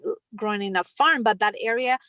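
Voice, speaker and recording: A woman's voice: medium pitch, a little fast, a bit bright, no room sound, studio quality. A woman who grew up in the north of Spain, chatting loosely about her past and repeating words as she talks. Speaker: client. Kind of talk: casual video call chat.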